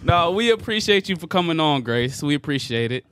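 A man with a slightly raised pitch hosts a casual group podcast, talking in laid-back slang and breaking into laughs. His voice is recorded dry, with no room sound around it. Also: big pitch swings, brisk, fairly bright tone, clear, resonant tone, no room sound. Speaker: podcast host.

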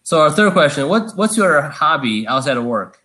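A man's voice: on the high side, very animated, moderate pace, bright, forceful tone. A man co-hosting a casual interview, easygoing and laughing. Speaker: co-host.

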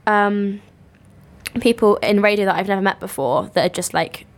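Gravelly young woman, casual podcast chat. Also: mid-range voice, normal speed. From Cornwall, England. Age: young adult. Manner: relaxed and chatty, agreeing often. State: ill, coughing. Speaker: podcast co-host. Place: student radio studio.

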